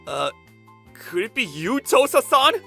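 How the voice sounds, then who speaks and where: A man acting an excitable, flustered young designer. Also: medium pitch, moderate pace, faint music behind. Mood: flustered disbelief. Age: young adult. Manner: excitable, swings from reflective narration to exasperated shouting. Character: young male designer, stubborn, earnest, easily flustered. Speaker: narrator and lead character. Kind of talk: anime-style manga dub, dramatized first-person narration.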